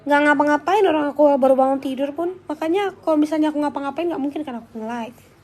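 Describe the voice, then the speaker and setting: A woman's voice: high-pitched, quick pace, darkish tone. A young woman with a voice congested by flu, chatting casually and informally on a livestream as she answers viewers. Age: young adult. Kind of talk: casual chat.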